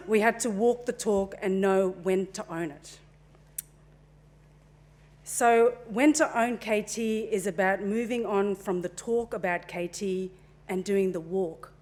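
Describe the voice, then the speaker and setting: Chatty woman presenting at a forum. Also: mid-range voice. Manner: relaxed, chatty presenting with fillers and asides. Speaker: conference presenter. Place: conference room. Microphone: handheld mic.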